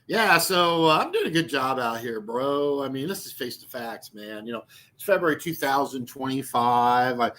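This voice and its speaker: Rambling sixty-year-old man, bright voice. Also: medium pitch, animated, normal speed. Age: 60s. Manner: meandering with many fillers and restarts; mocking, laughs at own jokes. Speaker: YouTube channel host. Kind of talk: rambling casual vlog talk.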